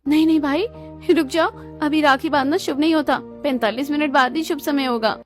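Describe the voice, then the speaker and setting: A woman performs a devoted young sister in a cartoon story. Her voice is high, sweet and pleading, with music underneath. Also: brisk, natural voice. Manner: pleading, affectionate.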